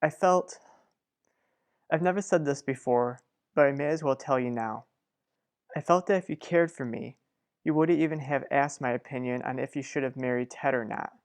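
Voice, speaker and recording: A man playing a reserved, gravely concerned confidant and old flame in a radio soap opera, speaking in a measured way. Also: mid-range voice, lightly acted. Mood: guarded, wounded.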